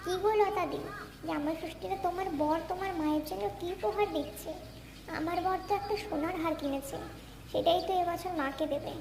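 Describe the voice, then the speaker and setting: Cartoon village gossip played by a woman: snooty, smug, very high, noisy ambience behind. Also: fairly quick. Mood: boastful. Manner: smug, needling. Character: boastful, snooty village wife looking down on her friend. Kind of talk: Bengali cartoon fairy-tale acting. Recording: roomy echo, clear ambient noise.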